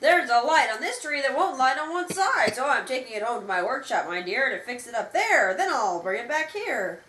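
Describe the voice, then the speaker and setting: A woman voicing a mean, scheming old male Grinch creature from a storybook, with a hissing, drawn-out, gloating delivery. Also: high-pitched, lively intonation, fairly bright tone. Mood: falsely sweet. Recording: roomy home recording with faint noise.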